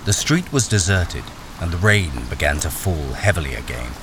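A man's voice: deep voice, lively intonation, normal speed. A man playing a wary, hard-up army deserter who is the hero of a radio thriller. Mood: brooding narration. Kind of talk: radio thriller narration and dialogue. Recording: noisy, rain-soaked radio drama recording.

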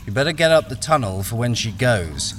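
A man's voice: medium pitch, sing-song, a little fast, fairly bright tone, a little hiss or hum. A man playing a wary, experienced miner, acting and narrating a radio crime thriller in a guarded, brooding way. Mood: terse. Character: wary, experienced male miner, blackmailed, narrating his ordeal.

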